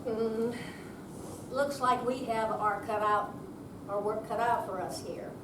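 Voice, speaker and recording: High, unhurried earnest safety visitor played by a woman. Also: fairly even intonation. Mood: earnest, instructive. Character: earnest home-safety visitor pointing out fall risks. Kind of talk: readers theater dramatic reading. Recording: roomy, distant, clearly noisy hall recording.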